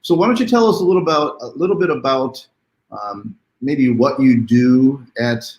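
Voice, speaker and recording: A man's voice: medium pitch, moderate pace, darkish tone, highs cut off. A man hosting a live online interview in a chatty, welcoming, conversational way, with a roomy sound. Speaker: host.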